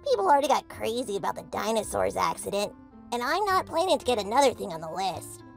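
A woman's voice: medium pitch, big pitch swings, fast talker, vocal fry, faint music behind. A woman voicing a tiny, grumpy male cat spirit with a dramatic, grumbling manner in a comic dub. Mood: dry, nagging.

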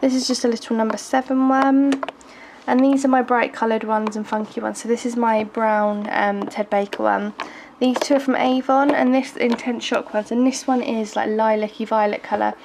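A young woman talks through her makeup collection in a slightly raised voice, groggy and gravelly, stumbling over words with lots of ums. She films from close by in her bedroom, and the home camera audio carries a steady hiss. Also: a little high, moderate pace. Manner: chatty, hesitant, frequent ums and little laughs. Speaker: beauty vlogger. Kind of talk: casual makeup collection tour. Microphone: handheld camera filming from close. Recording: noisy home video recording.

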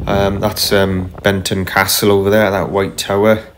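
A man narrating casually as he paddles a kayak on a river, unhurried, with frequent ums and uhs. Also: sits low, little pitch movement, noisy background. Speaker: kayaker vlogger. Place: kayak on a tidal river outdoors. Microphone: camera mounted on the kayak.

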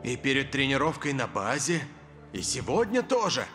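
A man voicing a proud, touchy star footballer in an animated cartoon, cocky and emotional. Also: medium pitch, moderate pace, soft background music. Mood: dejected.

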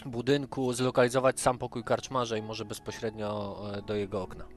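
Mid-pitched male gamer over faint music. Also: flat delivery, normal speed. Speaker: tabletop RPG player. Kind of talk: in-game conversation. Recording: music behind the voice.

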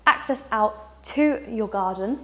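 A woman's voice: on the high side, slow, bright, forceful tone. A young woman presenting a walking tour of a large house, enthusiastic and gesturing as she goes, on a lapel mic. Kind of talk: upbeat house tour narration. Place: large furnished house indoors.

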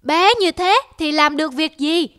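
A woman's voice: high-pitched, measured pace, a bit bright. A woman voicing an arrogant, bullying huge elephant in a children's cartoon. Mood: scornful, bullying.

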